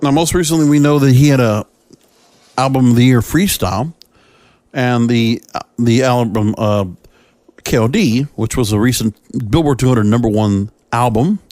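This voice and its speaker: Man, podcast host, solo commentary, medium pitch, halting short phrases, dry recording. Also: a little mellow, dry recording.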